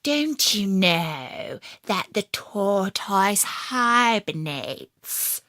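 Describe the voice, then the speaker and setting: A woman voicing a sly, know-it-all male fox in a children's story, speaking slowly and knowingly. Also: medium pitch, lively intonation, bright, forceful tone, dry recording, studio quality, full character voice. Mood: smug, explaining.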